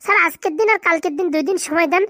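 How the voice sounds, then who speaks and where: High-pitched, very fast, fretful cartoon sidekick woman voicing him. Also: fairly bright tone, dry recording, clean studio sound. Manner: chattering, deferential, colloquial. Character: scheming, fretful male village sidekick with squeaky cartoon voice. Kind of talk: comic Bengali cartoon dialogue.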